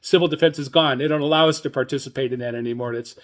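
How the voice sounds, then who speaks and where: Older man, medium-pitched voice, podcast host, informal news commentary, halting and rambling delivery. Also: fairly even intonation. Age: older, 60s or above. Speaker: radio hobbyist podcast host.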